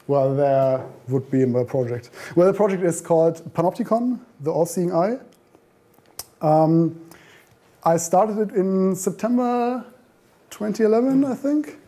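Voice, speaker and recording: A man's voice: high-pitched, unhurried, mellow. A man in his thirties giving an informal meetup talk in a meeting room, full of ums and restarts, recorded by a camera at the back. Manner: informal, frequent ums and self-corrections. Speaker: meetup speaker. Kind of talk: conference talk. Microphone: far camera.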